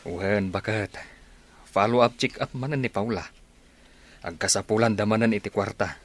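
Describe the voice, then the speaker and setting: Man acting as low-voiced, sympathetic village husband. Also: normal speed, natural voice. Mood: concerned. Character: sympathetic village husband discussing neighbours' troubles. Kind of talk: Filipino radio drama acting.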